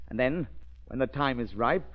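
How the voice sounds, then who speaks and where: An actor playing a cold, vengeful aristocratic villain with a measured, commanding manner, in 1930s radio style. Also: male voice, mid-range voice, lively intonation, lightly acted. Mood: menacing. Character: cold, vengeful aristocratic villain plotting against his guests. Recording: dull, band-limited 1938 radio recording.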